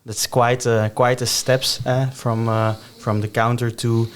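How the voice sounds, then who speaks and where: A man's voice: medium pitch, little pitch movement, unhurried, clean tone. A man hosts a podcast interview, opening with a practiced welcome. When he asks his questions, he halts and doubles back, repeating words before he gets them out. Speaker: podcast host.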